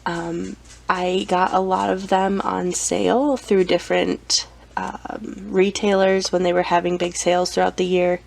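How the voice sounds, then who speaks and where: Woman with a cold, gravelly voice. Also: lowish voice, level tone, measured pace. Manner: chatty, with frequent ums and self-corrections. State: has a cold. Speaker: collector showing her anime collection. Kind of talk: casual show-and-tell vlog.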